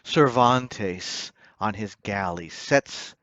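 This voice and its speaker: A man's voice: fairly low pitch, very slow, fairly bright tone, no room sound. A man giving a dramatic poetry reading in a theatrical, solemn, declamatory manner, with dull, muffled sound. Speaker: poetry reader.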